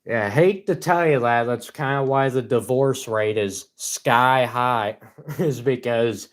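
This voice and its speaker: A young man with a gruff voice, rambling cynically on his livestream and laughing between points. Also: mid-range voice, slow. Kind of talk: casual solo livestream rant.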